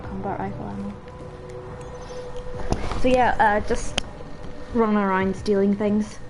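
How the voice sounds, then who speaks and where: A woman's voice: medium pitch, fairly even intonation, unhurried, over music. Chatty woman gamer with slightly stuffy nose. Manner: chatty, rambling, self-deprecating. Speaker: gaming video host. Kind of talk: casual let's play commentary.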